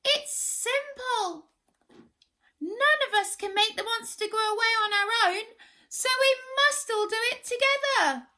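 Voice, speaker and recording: A woman's voice: very high voice, unhurried, bright, forceful tone, pitched above the performer's own voice, full character voice. A woman voicing a small, timid but plucky storybook creature with a hesitant, earnest manner. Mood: earnest, urgent. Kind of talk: children's storybook character voice.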